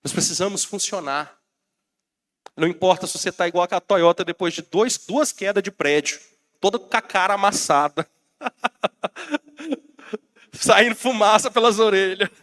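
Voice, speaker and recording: A man's voice: high-pitched, unhurried, pauses often, a bit bright. A Brazilian man preaching a sermon as pastor, rousing and calling out to his congregation, while he has a bad cold. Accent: Brazilian Portuguese.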